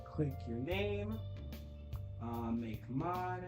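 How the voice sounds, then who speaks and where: A man's voice: a little high, expressive, drawn-out words, over music. A man streaming a game, chatting casually with his viewers in a rambling way. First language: English. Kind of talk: casual live-stream chat while gaming.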